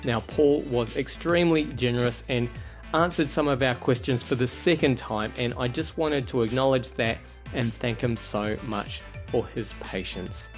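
A man's voice: mid-range voice, normal speed, faint music behind. A man hosting a podcast, introducing his guest and joking about his own mishap in a chatty, self-deprecating way. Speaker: podcast host. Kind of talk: scripted podcast intro, then casual interview.

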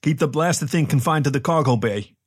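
A man's voice: on the high side, brisk, breathy edge, voice pushed up. A man voicing a male spaceship captain in an audiobook story, wry, teasing and emphatic. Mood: firm, exasperated.

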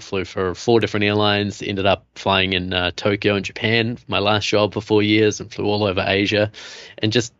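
A man in his thirties with a New Zealand accent, telling his story as a podcast guest in a relaxed way with many fillers. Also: sits low, no echo, lo-fi sound. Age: 30s. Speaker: guest, coach. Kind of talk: casual interview chat.

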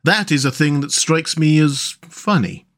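A man voicing a timid, excitable mole in a storybook, with a naive, breathless sense of awe. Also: fairly high pitch, measured pace, pitched above the performer's own voice. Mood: puzzled wonder. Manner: gasping, wide-eyed, naive.

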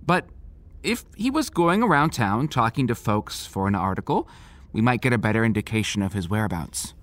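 A fatherly town sheriff, patient but firm, measured and reassuring, radio play style. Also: on the low side, very animated, a bit bright, no room sound. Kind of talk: naturalistic audio drama acting.

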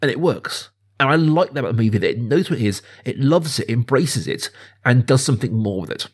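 A man in his thirties chats about film as a podcast host. His voice sits at an average pitch with some huskiness. He is enthusiastic and emphatic, often restating a point to build it up. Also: expressive, a little fast, husky. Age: 30s. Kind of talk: casual film discussion.

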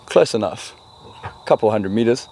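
A man's voice: lowish voice, expressive, normal speed, dark tone. A South African man speaking as a guest in an informal interview. He tells things casually and with a wry, joking edge. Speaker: interview guest, spearfishing guide and retailer. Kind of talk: casual chat.

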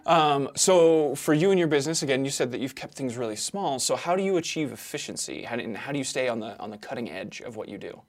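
A man's voice: mid-range voice, lively intonation, fast talker, few pauses. A man hosting a podcast interview, asking questions in an upbeat, friendly way. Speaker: podcast host. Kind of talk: interview host.

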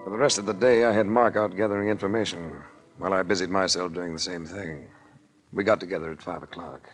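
An actor playing a cool, wry tough-guy investigator in a 1940s radio drama, with a gravelly voice and a faintly noisy vintage recording. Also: sits low, steady tone, fast talker, faint music behind, natural voice. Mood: sober. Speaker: lead actor and narrator.

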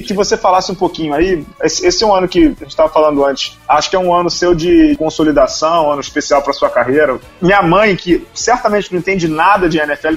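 A Brazilian man hosting a casual sports interview, joking and cutting in on his guest. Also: a little high. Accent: Brazilian Portuguese. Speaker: host.

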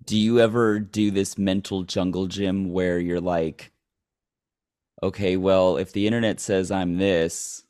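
A man's voice: sits low, steady tone, unhurried, a little mellow, smooth. A man hosting a casual interview, asking curious, probing questions and often rephrasing them mid-sentence. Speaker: host.

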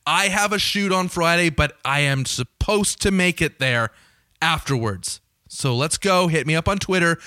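A young man with a slightly raspy voice, hosting his podcast in a chatty, joking way and swearing casually. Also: high voice, sharp tone, no room sound. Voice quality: a little raspy. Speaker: podcast host. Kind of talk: casual solo podcast intro.

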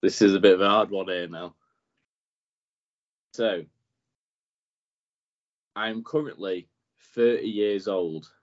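Brief: male quizmaster, relaxed delivery, lowish voice, muffled lo-fi sound. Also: slow pace, long pauses. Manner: laid-back, reads clues plainly, chuckles often. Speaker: podcast quizmaster. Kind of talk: casual quiz chat. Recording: dull lo-fi podcast audio.

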